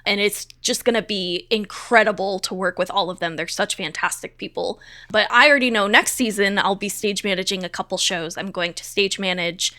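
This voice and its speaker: A woman's voice: medium pitch, fairly quick, bright, forceful tone. A woman chatting casually as an interview guest, speaking in an effusive, emphatic way. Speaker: theatre stage manager, interview guest. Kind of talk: casual chat.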